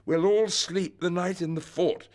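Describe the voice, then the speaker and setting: A man's voice: high voice, steady tone, unhurried, darkish tone, pitched above the performer's own voice. A man voicing a brave little boy who plays at being king, dramatic and declaiming, in a children's bedtime story. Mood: bold, commanding. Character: brave little boy playing king, grandly defiant then frightened.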